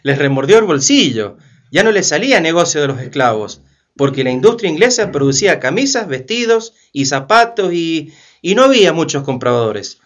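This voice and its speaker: A man's voice: a little high, very animated, moderate pace, highs cut off. A man playing an impassioned, argumentative historian in a community radio play, explaining emphatically and rhetorically. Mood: wry, explaining. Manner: emphatic, rhetorical questions, didactic. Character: impassioned male historian denouncing slavery, argumentative.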